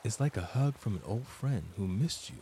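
Deep-voiced man guiding a meditation. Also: lively intonation, background noise. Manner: deliberate, soothing, with long pauses between short phrases. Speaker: meditation guide and podcast host. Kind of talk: scripted guided meditation narration.

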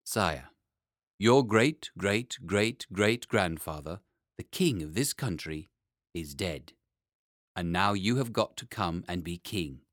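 A man voicing a grave, formal, courtly gentleman statesman with a solemn, stately manner in a storybook. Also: sits low, expressive, slow pace, no room sound, clean studio sound, pitched below the performer's own voice, full character voice.

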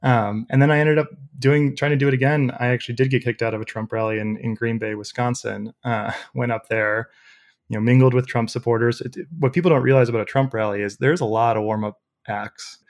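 A young man with a loud voice, a podcast guest telling stories casually with many fillers. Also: mid-range voice, fairly even intonation, brisk. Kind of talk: casual storytelling interview.

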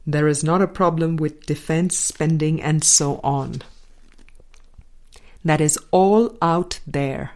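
A woman reading a scripted spiritual dialogue aloud in a measured, deliberate way, pausing between short phrases. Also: lowish voice, dark tone. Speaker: audiobook reader.

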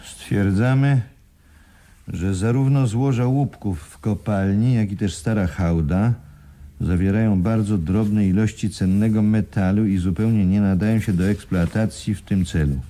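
Radio drama businessman: very soft-edged low tone, measured, articulate, official. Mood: formal, official. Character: uneasy businessman reading an expert report aloud. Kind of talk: Polish radio play acting, formal reading.

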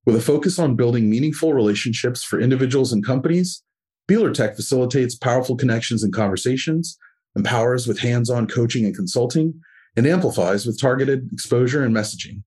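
A man's voice: medium pitch, expressive, fairly quick, darkish tone, slightly rough. A man hosting a podcast, reading sponsor copy and asking questions in a friendly, enthusiastic way. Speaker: podcast host.

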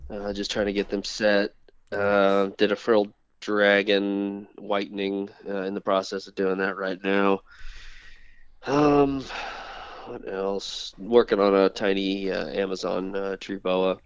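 A man's voice: lowish voice, little pitch movement, smooth, dry recording. Man in his forties, muffled phone line. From United States. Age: 40s. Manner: hesitant, many filler pauses. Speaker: podcast guest. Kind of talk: casual chat. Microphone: phone on speaker. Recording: dull lo-fi phone call.

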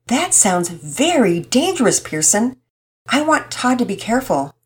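A woman voicing a patient, gentle mother figure who speaks calmly and explains things like a teacher. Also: on the high side, a little slow, pitched above the performer's own voice. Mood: concerned. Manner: calm, warm, teaching tone.

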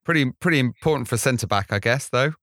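A man's voice: medium pitch, a little fast, a bit bright, no echo, studio quality. A man hosting a podcast, introducing and interviewing a guest in a conversational, articulate way. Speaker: podcast host.

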